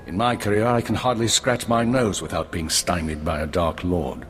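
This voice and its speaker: Low-voiced, grave, weary intelligence minister. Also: male voice, steady tone, fairly bright tone. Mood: remorseful. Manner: measured, formal, grave. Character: weary senior intelligence minister, regretful, authoritative older man. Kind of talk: scripted dramatic game acting. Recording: orchestral music behind.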